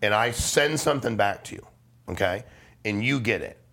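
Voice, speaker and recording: A man's voice: lowish voice, expressive, normal speed, bright, forceful tone. A middle-aged man talking casually and persuasively as an interview guest, blunt and emphatic, with slang and swearing. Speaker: podcast guest, life insurance salesman.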